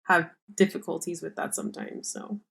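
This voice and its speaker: Female guest, Spanish first language, lowish voice, relaxed and agreeable. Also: moderate pace, vocal fry. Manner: frequent soft back-channel agreement. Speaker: podcast guest. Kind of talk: casual conversational interview.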